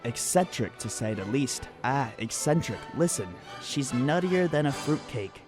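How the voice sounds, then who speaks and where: A man's voice: mid-range voice, expressive, close to an everyday voice. A man playing a folksy, good-natured small-town gossip in a radio drama, talking in a colloquial, wryly amused way. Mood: wry, gossipy. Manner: colloquial, drawn-out, wry. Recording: music playing under the voice. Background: music.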